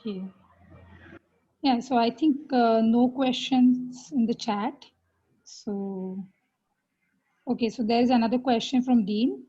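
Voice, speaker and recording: A woman's voice: on the high side, slow pace, long pauses, mellow, slightly rough, muffled recording. A woman moderating a question round in an online workshop, speaking hesitantly with many fillers.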